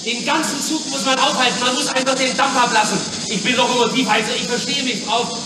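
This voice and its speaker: Radio play part: insistent, defiant working-class stoker, very high-pitched, distant in a reverberant hall. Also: male voice, fairly even intonation, fast, noisy background. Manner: urgent, exclamatory, insistent. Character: hot-headed working-class young man, a pleading, defiant locomotive stoker. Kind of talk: radio drama acting. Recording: echoey hall, off-mic, music behind.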